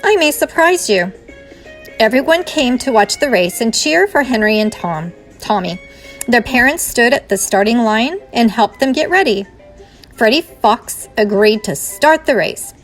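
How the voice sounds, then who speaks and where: A woman reads a children's story aloud like a teacher. Her voice is fairly high and very varied in melody, with faint music behind it. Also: lively intonation, normal speed.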